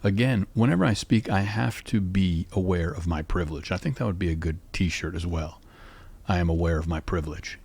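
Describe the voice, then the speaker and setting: Low husky sleepy-voiced man. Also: a little fast. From North Carolina. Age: 50s. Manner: calm, musing, even delivery. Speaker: podcast host. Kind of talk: rambling solo monologue.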